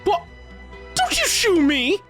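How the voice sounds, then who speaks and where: A woman's voice: very high-pitched, wildly swooping pitch, slow pace, music in the background. A woman doing casual game reaction commentary with playful, blunt asides. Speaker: reaction streamer.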